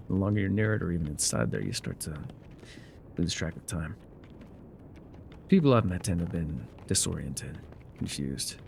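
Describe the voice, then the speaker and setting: A man playing a laconic, weathered frontier guide in a calm, haunted, musing ASMR roleplay, with a low gravelly voice and folksy pauses. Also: a little hiss or hum, heavily acted. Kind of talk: immersive ASMR roleplay monologue.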